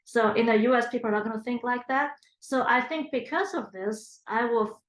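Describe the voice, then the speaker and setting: A woman's voice: fairly high pitch, moderate pace, reverberant. Thoughtful woman from China in her thirties. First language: Chinese. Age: 30s. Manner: reflective, thinks aloud with fillers and restarts. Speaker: interviewee. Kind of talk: oral history interview.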